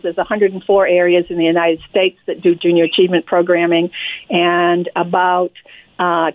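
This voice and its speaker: A woman's voice: fairly low pitch, no echo. An older woman with a Maine accent, a nonprofit president speaking as a guest in a casual radio interview, friendly and given to repeating words. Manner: friendly, repeats words and says "yep" often. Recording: tinny phone line, dry.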